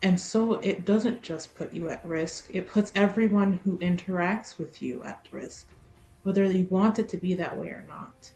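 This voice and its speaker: A woman speaking deliberately, with pauses between phrases, as she narrates and roleplays in a tabletop game. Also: on the low side, mellow, a little hiss or hum. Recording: roomy echo, faint music behind. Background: faint music.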